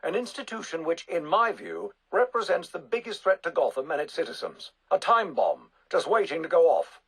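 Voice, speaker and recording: Zealous tv debate bigwig, raspy highish voice, pompous, very animated speechifying. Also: male voice, normal speed, full character voice, rough recording. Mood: insistent. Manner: emphatic, pompous, oratorical. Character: zealous, self-assured male public figure lobbying on TV.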